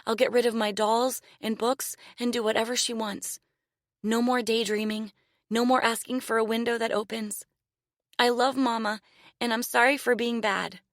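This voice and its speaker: A woman voicing a frightened young girl who pleads and cries in a high voice. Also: fairly even intonation, normal speed, no room sound, clean studio sound, natural voice. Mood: tearful prayer.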